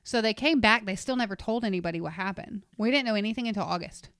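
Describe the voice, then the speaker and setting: Podcast co-host, woman, 30s, rambling storyteller, smooth clear tone, dry close sound. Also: mid-range voice, fast, fairly bright tone, no echo. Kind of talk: casual chat.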